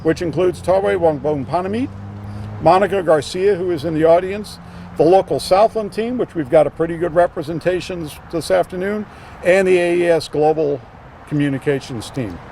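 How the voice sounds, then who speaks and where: A man's voice: fairly high pitch, normal speed, creaky, a little mellow. Brief: male corporate speaker, formal scripted closing, faint outdoor noise. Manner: formal, reading a list of names. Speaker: company representative. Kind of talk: reading prepared thank-yous.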